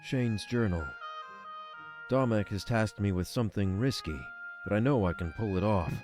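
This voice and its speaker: Man voicing a deep, solemn rebel spy. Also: normal speed, over music, close to an everyday voice. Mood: resolute. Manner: solemn, determined. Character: devoted, stoic male rebel spy reading his journal. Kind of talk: scripted visual novel monologue.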